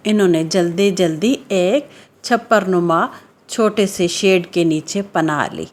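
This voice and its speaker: Woman, children's story narrator, scripted delivery, lowish pitch, very slow pace.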